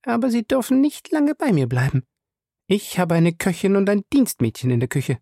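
Man voicing a sharp, wary woman, lively high pitch. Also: fairly quick, gravelly, no room sound, studio quality, exaggerated voice. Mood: curt, reluctant. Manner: curt, indignant, suspicious. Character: wary, sharp-tongued woman guarding her good reputation. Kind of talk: audiobook character dialogue.

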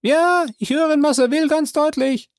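A reader voicing a frightened male servant who shouts down from a tree in broken, pleading speech. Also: sits high, moderate pace, dry recording, clean studio sound, voice pushed up. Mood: eager. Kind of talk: audiobook character voice.

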